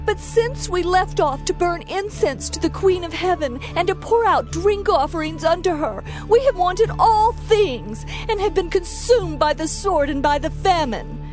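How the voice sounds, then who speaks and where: An actress plays a defiant woman of ancient Judah with a very high voice. She declaims her complaint in scriptural language, with music playing behind her in an audio Bible drama. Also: expressive, a little slow, few pauses. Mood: defiant, aggrieved.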